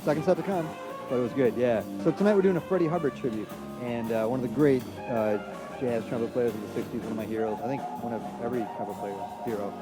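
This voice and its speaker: A man from Trinidad chatting casually as a musician being interviewed, using relaxed hip hop slang. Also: medium pitch, fast talker, low-quality recording. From Trinidad, from San Fernando. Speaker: jazz trumpet player, interview guest. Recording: loud music in the background.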